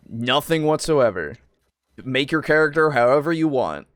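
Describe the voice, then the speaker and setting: A man hosting a casual podcast chat about tabletop roleplaying, explaining things in a rambling, halting way with some swearing. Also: fairly high pitch, expressive, a bit bright, no echo, studio quality. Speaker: podcast host.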